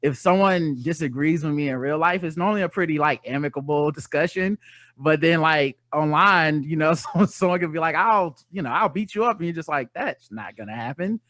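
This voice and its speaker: A man with a high, bright voice speaks as a podcast guest in casual conversation. His manner is wry and humorous, and the recording is dry. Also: brisk, no room sound. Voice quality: high-pitched voice.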